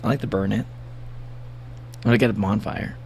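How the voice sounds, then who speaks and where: A man with a gravelly voice giving a casual livestream reaction, rambling and joking playfully as he comments and reads bits aloud. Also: on the low side, fairly even intonation, fairly quick, slight background noise. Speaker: streamer reacting to horror videos.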